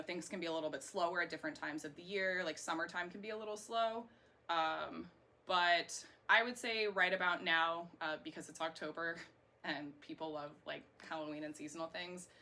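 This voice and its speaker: Bright-voiced American woman in her thirties. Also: on the low side. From Florida, United States. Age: 30s. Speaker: interviewee, singer and burlesque performer. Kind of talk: casual interview answers. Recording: clear background noise.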